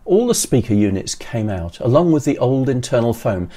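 An older man in his sixties giving scripted how-to narration in a measured, methodical way, as a presenter. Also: mid-range voice, very animated, warm tone. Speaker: presenter of an electronics how-to video.

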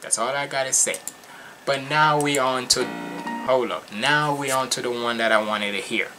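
A young man from Jamaica giving casual, animated and slangy commentary as he reacts to music at home. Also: mid-range voice, normal speed. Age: young adult. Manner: animated, slangy, emphatic, repetitive. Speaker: reaction video host. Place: small furnished room at home.

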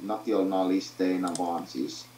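A man's voice: deep voice, fairly even intonation, darkish tone. A young Finnish man joining in with casual, joking chat as a friend off screen. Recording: echoey room with clear hum.

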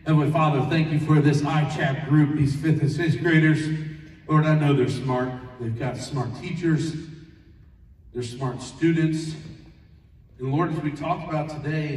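Man preaching to kids in an echoey hall. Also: a little high. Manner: animated, plain-spoken, rhetorical questions. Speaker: pastor leading a children's chapel. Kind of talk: sermon to fifth and sixth graders. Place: large school gymnasium, indoors. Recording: echoey gym, distant microphone.

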